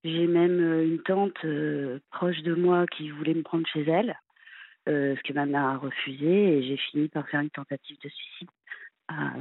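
Woman in her fifties, caller, husky voice, halting, hesitant, tinny phone line. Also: sits low, fairly even intonation, dry recording. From France. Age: 50s. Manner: halting, hesitant, many fillers and restarts. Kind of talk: late-night radio call-in confession.

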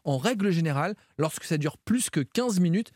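A man giving medical advice as a doctor on a radio health show, explaining things briskly. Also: a little high, expressive, a little mellow, no echo, studio quality. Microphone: studio mic.

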